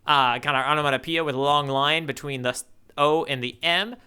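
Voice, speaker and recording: Male podcast co-host, higher-pitched, crisp and bright, enthusiastic casual delivery. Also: fairly even intonation, brisk. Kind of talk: casual manga discussion.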